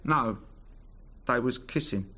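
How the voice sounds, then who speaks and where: An actor playing a hesitant, plain-spoken working-class London defendant, halting and slangy, in a British radio drama. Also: male voice, medium pitch, pushed and bright. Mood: reluctant, halting.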